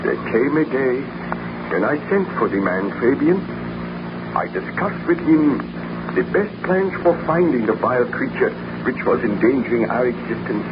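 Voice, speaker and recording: A guilt-ridden, fearful baron played by a male actor speaks formally, with sweeping melodramatic intonation. It is classic 1930s radio drama, on a muffled recording with clear noise. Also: medium pitch, animated, moderate pace, natural voice. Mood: grave, tense. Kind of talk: 1930s radio drama acting, narration and dialogue.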